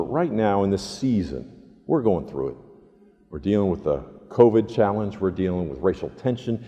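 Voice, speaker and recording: A man in his sixties preaching a reflective, conversational sermon as a pastor, earnest and deliberate with emphatic pauses. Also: sits low, mellow. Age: 60s. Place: large empty church sanctuary, indoors. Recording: reverberant church hall, slightly muffled.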